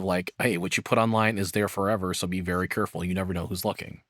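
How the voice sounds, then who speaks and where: A man with a fairly low voice reacts to a video as a streamer would, in a close, dry recording. His delivery is informal and rambling, with casual swearing mixed in. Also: a bit bright, no echo.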